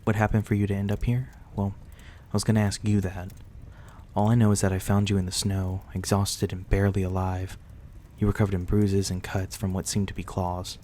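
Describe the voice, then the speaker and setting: A man playing a courteous, reclusive young-looking vampire in an intimate ASMR roleplay, speaking softly and reassuringly in a politely old-fashioned way. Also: low-pitched, little pitch movement, a little fast, a little hiss or hum, lightly acted. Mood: gently concerned.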